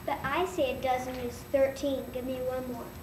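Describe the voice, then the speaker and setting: Girl playing a high-voiced stern old woman, echoey. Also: flat delivery, moderate pace, distant mic, natural voice. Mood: demanding. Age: child, about eight or nine. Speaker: reader in a school readers' theater. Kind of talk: recited school play dialogue. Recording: echoey room from afar, noisy.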